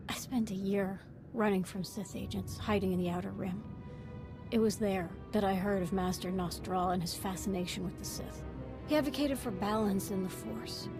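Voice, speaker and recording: An actress playing a devoted, reflective former Sith apprentice in a video game, speaking earnestly in measured, formal phrases. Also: female voice, mid-range voice, close to an everyday voice.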